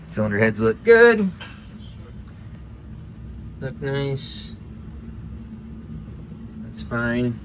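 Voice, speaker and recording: A man's voice: medium pitch, big pitch swings, very slow, gravelly, pauses often, slight background noise. Man rambling casually through workshop talk. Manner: rambling, thinking aloud, often trailing off mid-sentence. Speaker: host of an engine-building video channel. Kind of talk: casual shop talk to viewers. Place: engine workshop.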